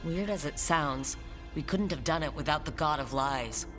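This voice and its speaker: A woman's voice: low-pitched, normal speed, music in the background, natural voice. A woman playing a determined, wry heroine in a fantasy game. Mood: wry resolve. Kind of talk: video game character dialogue.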